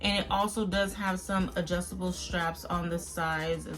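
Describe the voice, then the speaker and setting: A woman's voice: lowish voice, fairly even intonation, moderate pace, a bit bright, faint music behind. A woman hosting a beauty vlog, talking casually and directly to viewers in an informal, chatty review style. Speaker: beauty vlogger and host.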